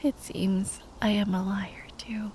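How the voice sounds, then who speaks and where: A woman playing a tender, grieving spellcaster lover in an ASMR roleplay, speaking in a breathy, halting whisper. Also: medium pitch, fairly even intonation, warm tone, a little hiss or hum, natural voice. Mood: tender, sad. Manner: halting, tender, sighing delivery.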